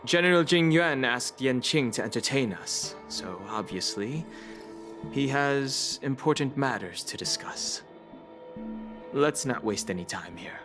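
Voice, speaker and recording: An actor voicing a calm, knowledgeable young man who explains things in a composed way, from game audio. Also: mid-range voice, measured pace, lightly acted. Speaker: game character. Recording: game audio with music behind.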